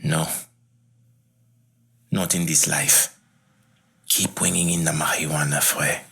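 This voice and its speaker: Casting: hardened exiled Haitian gang leader for a game; low forceful voice, husky, measured and grave. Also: male voice, flat delivery, heavily acted. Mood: somber. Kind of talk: video game cutscene acting.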